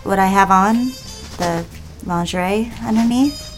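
Bir kadının oynadığı cilveli, tatlı genç anne, arkada hafif müzik. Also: female voice, mid-range voice, a little slow, faint music behind, natural voice. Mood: coy, seductive. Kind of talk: audio drama acting.

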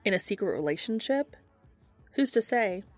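A woman hosting a podcast, reading fan fiction aloud in a steady, even storytelling manner. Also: medium pitch, moderate pace, no room sound, soft background music. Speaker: podcast host and reader.

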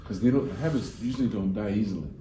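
A man's voice: fairly low pitch, quick pace, dark tone, reverberant, slight background noise, rough recording. A man hosting a podcast, asking questions in a teasing, easygoing way. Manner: teasing, laughs readily. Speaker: podcast host. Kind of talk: casual interview.

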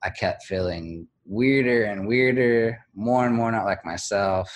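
A man speaking as an interview guest, candid and reflective, pausing often with fillers and restarts. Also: fairly low pitch. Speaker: guest, blogger.

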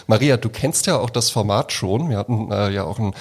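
Ein Mann moderiert ein Podcast-Interview, gesprächig und zugewandt, mit vielen bestätigenden Einwürfen. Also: male voice, on the low side, brisk, breathy edge. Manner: friendly, chatty, lots of affirming fillers. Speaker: podcast host.

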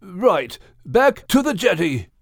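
Storybook whale played by a woman: big, kindly, old-fashioned gentleman, slow and expressive. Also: on the low side, creaky, no room sound, clean studio sound, voice pushed up, exaggerated voice. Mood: hearty. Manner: courteous, genteel, drawn-out speech.

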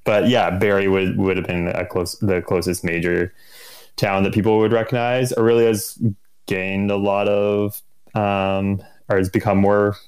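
A man's voice: on the low side, level tone, measured pace, a little mellow, clear, resonant tone. A Canadian-accented man in his thirties chatting casually as an interview guest, informal and searching for his words. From Ontario, Canada. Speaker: interview guest, endurance cyclist.

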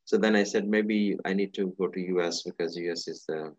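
A man from India with an Indian accent, answering interview questions about himself in halting, fragmented phrases. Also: sits low, steady tone, a little mellow, no room sound, muffled recording. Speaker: interview guest, business executive.

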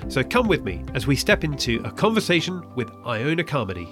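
A podcast host speaks at a middling pitch, moving between scripted introduction and interview questions. Music plays clearly behind the voice. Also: very animated, fairly quick.

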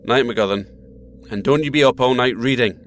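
A man playing a calm, friendly space mission captain with dry humour in a naturalistic audio drama. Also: mid-range voice, moderate pace, a little hiss or hum, lightly acted. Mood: reflective, friendly.

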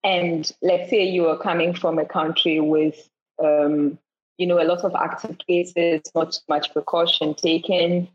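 A woman from Ghana, a guest in a podcast interview, telling travel stories in an animated way, acting out the voices she quotes and often restarting her sentences. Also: on the low side, a little slow. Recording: dull lo-fi audio.

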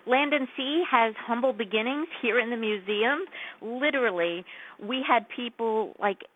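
A woman's voice: a little high, a little slow. An earnest, enthusiastic woman talks as a radio guest about her work at a museum, and she laughs easily. Her voice comes through a thin, tinny phone line. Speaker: museum executive director, guest. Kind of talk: radio interview, casual chat.

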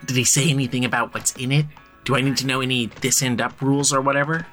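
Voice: medium pitch, steady tone, fast talker, fairly bright tone, soft background music, lightly acted. An actor playing a jaded, bossy, sardonic insider of a crime family in a modern audio drama. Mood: businesslike. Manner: sardonic, sweary, commanding.

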